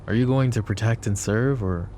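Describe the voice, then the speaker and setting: A man playing a flirty, possessive mafia boss who is protective and menacing, in an intimate roleplay ASMR style with smooth-talking, controlled menace. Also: on the low side, normal speed, mellow, slight background noise, close to an everyday voice. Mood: intense, possessive.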